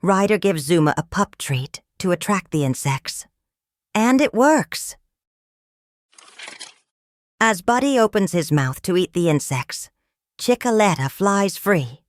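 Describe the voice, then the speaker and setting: A woman reading a children's story aloud in an expressive storytelling manner. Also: fairly low pitch, takes it slowly, pauses often, no room sound, studio quality. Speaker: storybook reader. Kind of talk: scripted read-aloud narration of a children's story.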